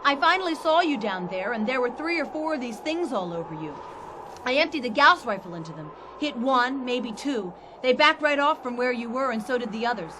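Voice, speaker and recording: A woman's voice: fairly high pitch, animated, a little fast, background noise, natural voice. A woman playing a plucky, anxious adventurer in a science fiction radio drama, speaking in an earnest, candid way. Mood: tense, recounting. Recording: loud ambient sound effects behind.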